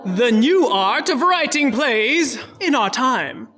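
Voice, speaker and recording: A woman reads quotations in a theatrical, deliberate way. Her voice sits fairly high and moves expressively, with soft music underneath. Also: animated.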